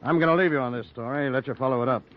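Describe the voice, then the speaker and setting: An actor playing a measured, businesslike newspaper city editor in a 1940s radio drama. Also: male voice, mid-range voice, lightly acted. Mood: brisk, measured. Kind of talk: 1940s American radio noir acting. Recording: dull 1940s radio recording.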